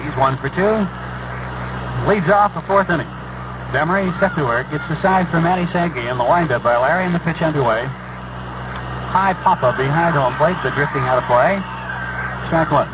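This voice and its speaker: A man's voice: on the high side, moderate pace. A man calling a baseball game play by play as a radio announcer, in live commentary. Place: stadium broadcast booth. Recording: dull, very noisy 1970s radio broadcast.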